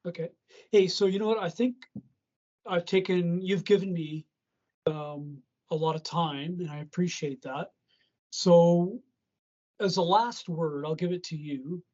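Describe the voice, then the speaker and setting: A man with a North American accent, conducting a conversational interview in a polite, halting way. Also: high-pitched, steady tone, a little mellow. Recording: dull, lo-fi call audio.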